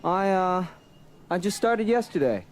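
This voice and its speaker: An actor playing an earnest, edgy young rebel hero in a space opera audio drama, slightly impatient. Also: male voice, high-pitched, expressive, unhurried, slight background noise. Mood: bluffing.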